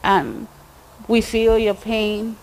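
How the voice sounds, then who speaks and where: Slow-speaking woman addressing a gathering. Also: fairly high pitch, steady tone, darkish tone, slight background noise, rough recording. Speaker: speaker and poet at a community memorial event. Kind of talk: public remarks and poetry recital at a community event. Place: community event at fairgrounds, speaking to a gathering.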